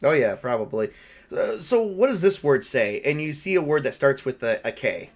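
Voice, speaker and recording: Male tabletop game master, mid-range voice, improvising loosely with halting, repetitive phrasing. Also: mid-range voice, sing-song. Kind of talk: improvised tabletop roleplay and narration, conversational.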